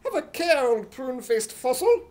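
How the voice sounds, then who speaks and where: A man voicing an excitable, gullible, indignant comic hero in a loud, exclamatory, put-on British accent, in 1950s radio comedy style. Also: very high voice, normal speed. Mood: exasperated. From United States.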